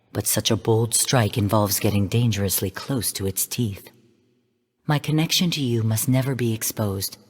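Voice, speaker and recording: An actress playing a female artificial intelligence mentor in a video game, delivering mission briefings in a calm, stern, measured and formal way. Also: very deep voice, breathy edge, long reverb tail. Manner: measured, formal, cool, precise. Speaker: game guide and mission narrator.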